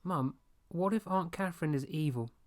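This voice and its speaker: A man voicing a frightened twelve-year-old boy in a storybook reading, with panicky, pleading shouts. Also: fairly high pitch, normal speed, pitched above the performer's own voice, close to an everyday voice. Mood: nervous.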